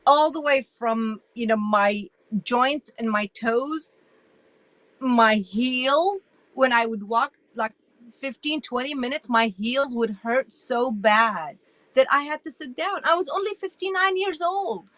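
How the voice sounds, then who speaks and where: Iraqi-born woman, 60s, interview guest, bright tense voice, talks with a lot of emphasis. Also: sits high, slow, no room sound, low-quality recording. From Iraq. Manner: animated and emphatic, with frequent restarts. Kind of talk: casual interview storytelling.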